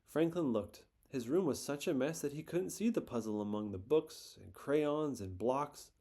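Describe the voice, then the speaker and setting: A man reading a children's picture book aloud in a gentle, expressive storytelling manner. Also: medium pitch, moderate pace.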